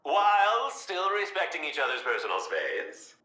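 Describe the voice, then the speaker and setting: A flamboyant clown villain from a video game, talkative and full of wisecracks. The voice is high for a man and extremely animated, leaping around theatrically with a very sharp, forceful edge. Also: moderate pace, echoey room, exaggerated voice. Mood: jokey.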